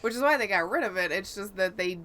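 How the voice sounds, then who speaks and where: A woman's voice: medium pitch, expressive, fast, crowd noise. A woman talking casually and conversationally as a podcast co-host. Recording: clear background noise.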